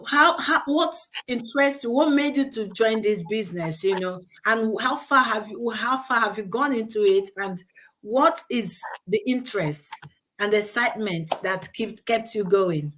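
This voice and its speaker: A woman's voice: a little high, moderate pace, fairly bright tone, slight background noise. A woman hosting an online chat show over a video call, who talks chattily with plenty of fillers and keeps encouraging her guest. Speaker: host.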